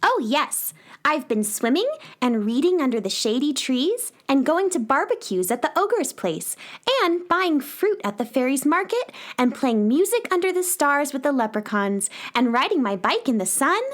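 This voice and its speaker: A woman's voice: high-pitched, sing-song, moderate pace. An actress playing an eager, friendly young girl adventurer in a children's radio drama, chirpy and polite.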